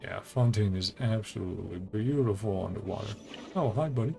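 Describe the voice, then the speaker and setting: A man's voice: fairly low pitch, brisk, vocal fry. A man streaming a video game, giving casual, rambling commentary with muttered asides.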